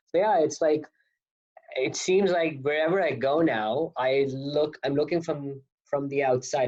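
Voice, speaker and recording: A man's voice: medium pitch, a little mellow. A man in his thirties from Pakistan, talking as an interview guest in a rambling, self-correcting way. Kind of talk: casual interview talk. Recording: dull lo-fi audio.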